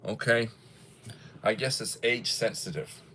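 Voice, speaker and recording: A man's voice: mid-range voice, measured pace, sharp tone, slight background noise. A man in his early sixties, chatting casually on a livestream, rambling and folksy as he reads viewer comments aloud. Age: 60s. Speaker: livestream host.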